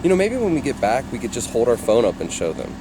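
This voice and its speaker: A man's voice: medium pitch, huge pitch swings, quick pace. A man co-hosting a vlog, chatting casually to viewers while out and about. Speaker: vlog co-host. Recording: clear outdoor and vehicle noise.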